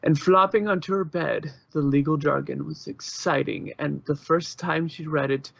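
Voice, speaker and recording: A man's voice: on the high side, steady tone, moderate pace, gravelly, a bit bright, no echo, dull audio, exaggerated voice. A man reading scripted story narration, as the narrator of an amateur audio drama.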